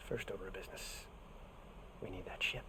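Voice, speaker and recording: An actor playing a roguish, teasing adventurer in a video game, with wry delivery and folksy old-fashioned slang. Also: male voice, low-pitched, fairly quick, noisy background, natural voice. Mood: wry, purposeful. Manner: wry, playful. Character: roguish, teasing adventurer man with frontier swagger. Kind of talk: video game cutscene acting.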